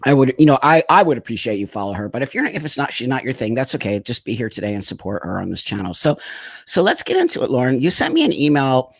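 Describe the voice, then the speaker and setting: A man hosting a live stream from home, talking in an effusive, rambling way that keeps circling back on itself. His voice sits at an ordinary middle pitch and the sound is close and dry, with no room around it. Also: huge pitch swings, quick pace, creaky, husky, no echo. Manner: effusive, rambling, laughs often. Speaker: host. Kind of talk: casual live-stream chat.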